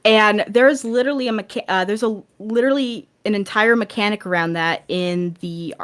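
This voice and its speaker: A woman with a middling pitch speaks in a casual podcast conversation. She is animated, and she often backs up and restarts a thought as she works it out. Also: normal speed. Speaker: podcast guest.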